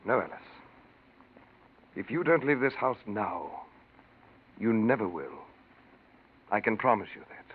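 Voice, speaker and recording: An actor playing an earnest, exasperated and protective suitor in a 1940s radio mystery, emphatic and theatrical. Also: male voice, on the low side, animated, a little slow, pauses often. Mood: urgent pleading. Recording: tinny, noisy 1940s radio recording.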